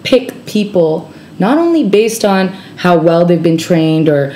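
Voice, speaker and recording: A woman's voice: on the low side, animated, a little slow, dark tone, slight background noise. A woman on a discussion panel, talking thoughtfully with lots of hedges. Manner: thoughtful, hedging. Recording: echoey room.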